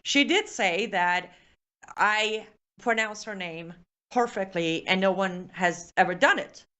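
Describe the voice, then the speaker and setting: A woman's voice: mid-range voice, slow pace, bright, forceful tone. A woman with a Persian accent, hosting a live show and chatting through viewer comments, with many fillers and restarts.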